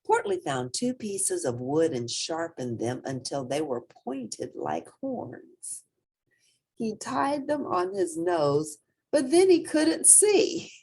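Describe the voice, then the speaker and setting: Very animated, husky woman reading a storybook. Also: on the low side, slow. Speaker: storybook reader. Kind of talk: expressive children's story read-aloud.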